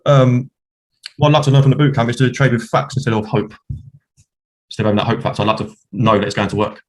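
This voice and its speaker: Flat-voiced man from London. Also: mid-range voice, fast. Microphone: video call.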